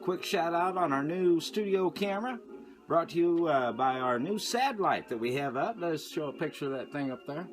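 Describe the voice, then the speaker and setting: Higher-voiced male radio host over music. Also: animated, moderate pace. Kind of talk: casual late-night show introduction.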